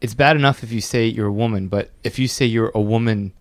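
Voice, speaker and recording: A man hosting a casual podcast interview, asking questions in a halting way and often stumbling over his words. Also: fairly low pitch, dry recording. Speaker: podcast host.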